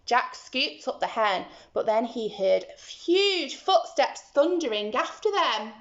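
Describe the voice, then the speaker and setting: A female teacher tells a tale to small children with dramatic emphasis. Her high voice rises and falls widely, and the recording is roomy and a little dull. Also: sing-song, takes it slowly, a bit bright. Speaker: teacher reading to young children. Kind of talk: children's storytelling.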